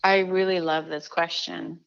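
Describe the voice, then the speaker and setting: A middle-aged woman from Chile talking as an interview guest, animated, with many restarts and fillers. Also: on the low side, measured pace, gravelly, lo-fi sound. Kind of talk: casual interview chat.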